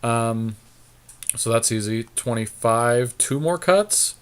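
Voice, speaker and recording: A man's voice: mid-range voice, expressive, slow pace, clean tone. A man co-hosting a podcast, chatting casually and thinking aloud as he plays a card game, with some offhand swearing. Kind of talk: casual chat while drafting a card game.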